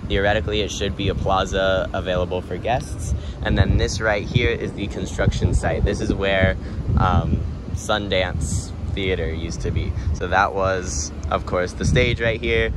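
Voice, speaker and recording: A young man walking outdoors at a construction site, filming himself on a phone and chatting casually with many ums. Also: sits low. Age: young adult. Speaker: theme park vlogger. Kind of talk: casual walking tour vlog. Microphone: phone held at arm's length. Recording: noisy outdoor phone audio.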